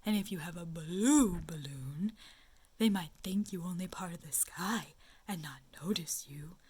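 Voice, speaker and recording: A small, earnest bear, put on by a woman reading a storybook aloud murmurs in a breathy, husky whisper that drags along very slowly. His voice sits a little low, and he mulls over every word with anxious solemnity. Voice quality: whispery, slow and hushed. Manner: ponders aloud, drawn-out words.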